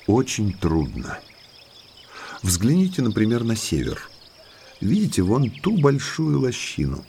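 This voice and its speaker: An actor playing a well-mannered male naturalist in an audio drama, an eager guide to eerie moors who speaks politely and deliberately. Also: mid-range voice, huge pitch swings, mellow, slight background noise. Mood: grave warning. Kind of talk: Russian audiobook drama acting.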